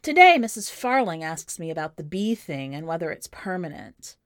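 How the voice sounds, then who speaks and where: A woman's voice: fairly low pitch, very animated, normal speed, no echo, studio quality. A woman hosting a podcast and reading a first-person short story in a wry, conversational and emphatic way. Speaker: podcast host and story reader.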